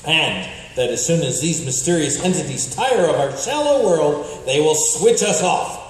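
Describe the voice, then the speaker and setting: A man's voice: high voice, darkish tone. Man as pompous, echoing radio serial narrator. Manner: theatrical, pompous, dryly comic. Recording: very echoey hall, faint noise.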